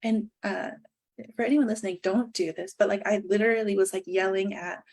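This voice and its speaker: A woman with a very quiet voice, a filmmaker guest talking candidly in a halting way with many fillers. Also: mid-range voice, fairly even intonation, creaky. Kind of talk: casual remote interview.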